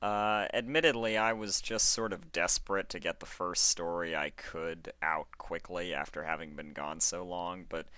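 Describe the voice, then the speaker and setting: A man's voice: low voice, steady tone, moderate pace, hardly any pauses, sharp tone, smooth, no echo. A man with a sore throat chatting casually to listeners as host, in a hesitant, apologetic way. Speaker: fan fiction reader, show host.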